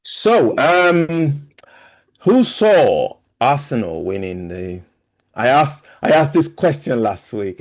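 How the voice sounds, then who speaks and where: A man hosting a football podcast over a call, easygoing, often repeating phrases as he runs the show. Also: medium pitch. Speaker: podcast host. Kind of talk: casual podcast chat.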